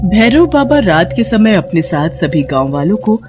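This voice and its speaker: Storybook narrator over loud music. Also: mid-range voice, big pitch swings, moderate pace. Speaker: narrator of animated fairy tales. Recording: music behind the voice.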